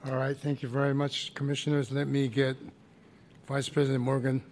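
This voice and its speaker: A man chairing a public commission meeting, brisk and procedural, calling on speakers and asking questions. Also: medium pitch, completely flat delivery, a little mellow, a little hiss or hum.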